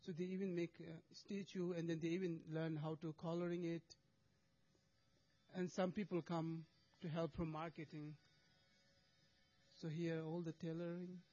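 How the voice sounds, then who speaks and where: A man's voice: on the high side, flat delivery, moderate pace, pauses often, warm tone, highs cut off, soft background music. A man presenting charity work to an audience, speaking hesitant English with many restarts and fillers.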